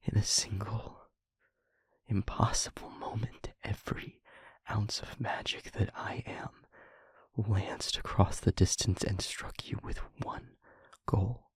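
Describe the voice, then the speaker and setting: A man playing a wounded, sorrowful demon in an intimate audio roleplay, speaking slowly and solemnly in a husky, breathy near-whisper with halting short phrases. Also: on the low side, flat delivery, no echo, exaggerated voice. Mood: solemn, pained. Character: wounded, haunted male demon confessing with tender sorrow.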